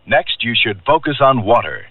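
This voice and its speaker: A man's voice: medium pitch, expressive, normal speed, noisy background. A man reading an orderly, instructive public service announcement for radio. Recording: tinny band-limited old radio broadcast, faint music.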